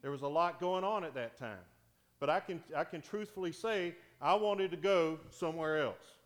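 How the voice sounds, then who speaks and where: Deacon preaching with a big voice. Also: male voice, a little high. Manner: animated preaching, swings from loud to hushed. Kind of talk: sermon. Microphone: wearing a microphone.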